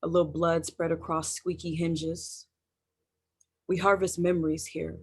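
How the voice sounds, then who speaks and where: A poet reciting spoken word in a deliberate, emphatic way over a video call. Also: medium pitch, little pitch movement, slightly rough. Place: indoors, remote video call.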